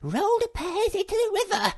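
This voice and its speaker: Sniff, a shrill excitable little creature. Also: slow pace, creaky, heavily acted. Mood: excited. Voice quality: very high and strained. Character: excitable small male creature, squeaky and eager.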